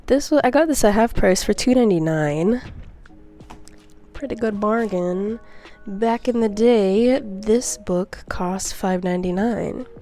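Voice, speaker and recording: A woman's voice: medium pitch, measured pace, dark tone. Young woman, congested from a cold, bubbly and rambling, talks right into the mic, faint music behind. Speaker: book vlogger. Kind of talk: casual chatty book haul. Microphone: close mic.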